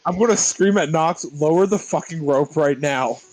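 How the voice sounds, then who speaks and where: A man's voice: on the high side, normal speed, crowd noise, rough recording. A man playing a tabletop roleplaying game, chatting casually and bluntly as he says what his character does. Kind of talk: casual, excitable game chat.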